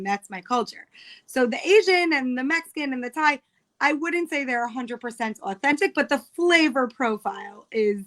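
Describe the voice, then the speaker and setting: A woman in her thirties from New York with an American accent speaks in a fairly high voice. She is an interview guest who chats casually and explains things in careful detail. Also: fairly bright tone. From New York, United States. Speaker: guest, cookbook author.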